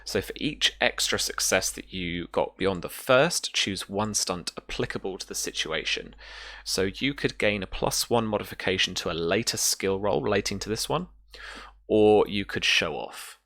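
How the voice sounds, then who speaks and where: A man's voice: fairly low pitch, animated, moderate pace, bright, forceful tone, dry recording. A man casually narrating and explaining rules as a game master, feeling his way through explanations piece by piece.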